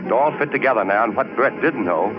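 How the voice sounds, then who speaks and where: An actor playing a young husband in an urgent, old-time radio suspense drama. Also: male voice, mid-range voice, lively intonation, quick pace, natural voice. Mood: tense narrating. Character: young architect husband searching desperately for his missing wife. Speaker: actor and first-person narrator. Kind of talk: 1940s radio drama acting. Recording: dull old radio recording with music.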